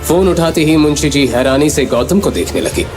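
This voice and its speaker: A man's voice: on the high side, sing-song, moderate pace. Male story narrator in long reverb. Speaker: audio series narrator. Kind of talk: dramatic scripted story narration. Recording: long reverb, music underneath. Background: clear background music.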